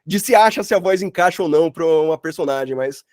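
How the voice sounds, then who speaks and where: A man's voice: a little high, quick pace, a little mellow, no echo, studio quality. A man teaching an online workshop in an informal, chatty way full of slang and filler tags. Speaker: workshop instructor, sound designer. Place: online video call.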